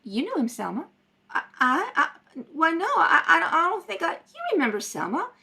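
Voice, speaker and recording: A woman reads dramatic parts in a rehearsal of an old radio mystery. Her voice is high and bright, with very animated inflection. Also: normal speed, vocal fry. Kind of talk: radio play rehearsal read-through, acting parts. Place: at home, at a kitchen table.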